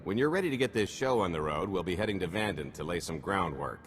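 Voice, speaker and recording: A low, lively male voice in an echoing space with some background noise: a sly alien con artist for a video game. He talks in breezy salesman patter, smooth and shifty. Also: fast talker. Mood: confident pitch. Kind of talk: video game cutscene acting. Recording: echoing hall, background hum.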